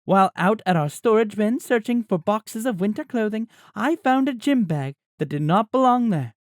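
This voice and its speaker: A man voicing a formal, composed mother in an audiobook, speaking in an even, official manner. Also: medium pitch, normal speed, dry recording, clean studio sound, pitched above the performer's own voice, natural voice. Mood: formal, grave. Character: formal, composed mother addressing wronged neighbors. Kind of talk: audiobook character voice.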